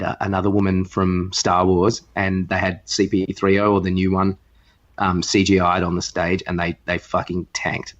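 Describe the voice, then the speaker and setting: A man chats casually as a podcast co-host, rambling and irreverent. His voice sits on the low side, and the recording is slightly dull and lo-fi. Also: fairly bright tone. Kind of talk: casual chat.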